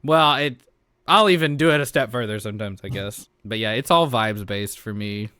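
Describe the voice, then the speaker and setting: A man's voice: on the low side, normal speed, sharp tone, smooth, no room sound, clean studio sound. A man chatting casually as a podcast host, swearing freely and joking as he talks. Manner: profane, joking. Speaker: podcast host and tabletop player. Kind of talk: casual chat.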